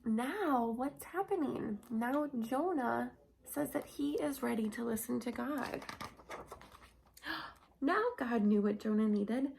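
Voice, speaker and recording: High-voiced woman reading slowly to young children. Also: creaky, background noise. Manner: simple, repetitive, questioning, addressing young listeners. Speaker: children's Bible storyteller. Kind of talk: animated read-aloud for young children.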